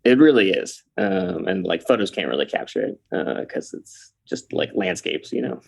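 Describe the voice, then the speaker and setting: A man from Canada, in his thirties, talks as a guest on a podcast. His voice is low with a gravelly edge. He takes his time and fills gaps with ums and uhs. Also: sing-song, normal speed. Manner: hesitant, many ums and uhs, easygoing. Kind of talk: casual interview chat.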